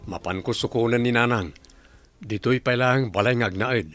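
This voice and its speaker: An actor playing a tight-fisted, argumentative husband and dutiful son in an Ilocano radio drama. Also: male voice, medium pitch, moderate pace, over music, lightly acted. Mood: matter-of-fact.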